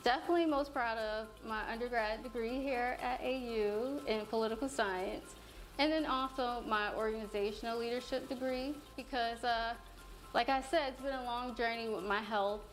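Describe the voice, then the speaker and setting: Higher-voiced sincere woman. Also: fairly even intonation, moderate pace, faint music behind. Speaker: graduating student. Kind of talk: testimonial interview.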